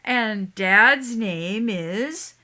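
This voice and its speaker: A woman playing a cheeky, mysterious young street boy in a stage play, speaking in a playful, knowing, unhurried way. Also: mid-range voice, expressive, pushed and bright, heavily acted. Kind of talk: theatrical stage-play acting.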